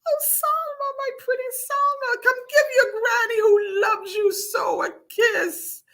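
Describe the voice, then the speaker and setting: A woman's voice: extremely high pitch, steady tone, measured pace, fairly bright tone, raised voice, voice pushed up, exaggerated voice. A woman voicing a doting old granny in a children's story, crooning and effusive. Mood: delighted, calling.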